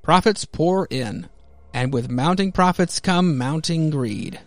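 A man's voice: a little high, takes it slowly. An American man hosting a podcast, mixing theatrical comic-book narration with casual commentary. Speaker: podcast host.

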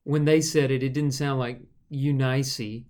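Relaxed man teaching scripture conversationally. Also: medium pitch, a little slow. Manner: relaxed and explanatory, with frequent fillers. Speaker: Bible study podcast host.

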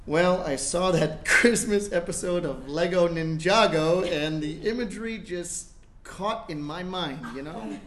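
A man plays a small, earnest child in a comic stage play. The voice is high and speaks in emphatic, over-precise declarations, bossy and sincere. It is a live recording in a roomy space with clear noise behind it. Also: unhurried. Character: young child, earnest, bossy, precocious about Santa's lap.

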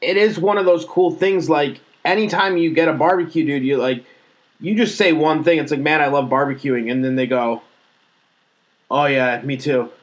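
A man's voice: a little high, moderate pace. A man chatting casually as a host, often repeating words as he restarts his sentences. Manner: stammering restarts, relaxed. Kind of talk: casual chat.

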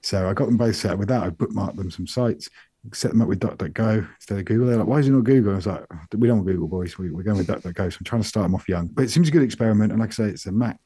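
A middle-aged British man co-hosting a podcast, chatting casually in a soft, rambling way. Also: lowish voice, rapid-fire, slightly rough, no echo. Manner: soft-spoken, relaxed and rambling. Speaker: podcast co-host. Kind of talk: casual chat.

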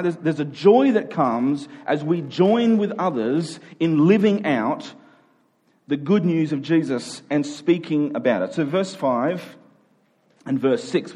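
Preacher with a husky edge. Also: male voice, fairly high pitch, mellow. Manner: repeats key phrases for emphasis, with rhetorical check-ins. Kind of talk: sermon.

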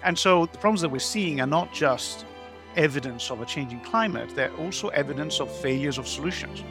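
A water expert with a somewhat high voice speaks in a measured, deliberate way and pauses to stress his points. Music plays clearly behind him. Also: lively intonation. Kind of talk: reflective interview talk. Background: background music.

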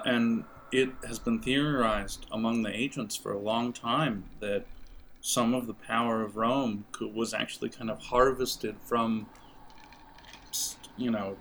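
A man's voice: medium pitch, a bit bright, rough recording. A man narrating a role-playing game as game master, speaking conversationally and often searching for words.

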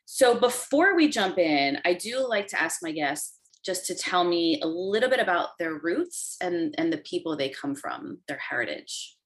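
Woman podcast host, slightly low, eager, rambling questions. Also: very animated, normal speed, hardly any pauses. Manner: enthusiastic, meandering with restarts. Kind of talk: casual interview chat.

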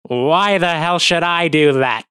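A man's voice: fairly low pitch, animated, fairly quick, sharp tone, clear, resonant tone, no echo, clean studio sound. A man voicing a scrawny, ferret-like police officer who barks and sneers in an audio drama. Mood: sneering hostile. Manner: barking, sneering.

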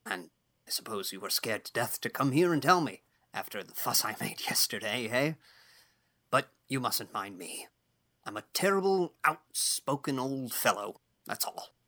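Woman as a gruff, blustery old farmer. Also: a bass voice, animated, normal speed, fairly bright tone, pitched below the performer's own voice, heavily acted. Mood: brisk, forgiving. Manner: blustery, blunt, folksy exclamations. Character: gruff, outspoken, irritable but kindly old male farmer. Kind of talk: audiobook character dialogue.

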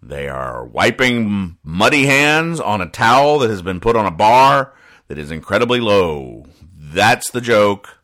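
A man hosting a comedy podcast, explaining things in a deadpan way with halting restarts and emphatic repetition. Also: fairly low pitch. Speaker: podcast host. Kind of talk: deadpan comedic explanation of newspaper comic strips.

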